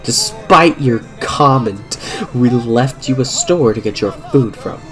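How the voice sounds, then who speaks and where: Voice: medium pitch, lively intonation, takes it slowly. A reader voicing a smug, possessively affectionate giant male dog in a fan fiction story. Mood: hurt. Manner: talks down to his former owner. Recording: music under the reading.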